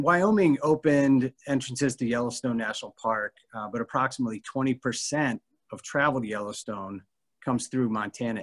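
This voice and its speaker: A man's voice: mid-range voice, fairly bright tone. A man in his thirties hosting a virtual town hall, asking loose, rambling questions with restarts from an office over a video call. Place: office with whiteboard indoors. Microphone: laptop webcam on video call.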